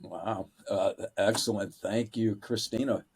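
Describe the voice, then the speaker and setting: A man moderating a panel discussion, polite and deliberate in his phrasing. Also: on the low side, steady tone, breathy edge.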